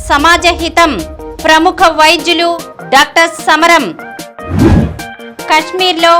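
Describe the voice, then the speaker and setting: A woman reading a news bulletin in a steady, formal newsreader manner. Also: very high-pitched. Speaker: news reader.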